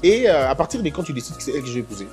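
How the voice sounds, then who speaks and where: A male interviewer with a lively, swinging pitch who fires off teasing questions one after another. Music plays clearly under his voice. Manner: teasing, rapid-fire questioning. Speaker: interview host. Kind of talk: probing, joking interview questions.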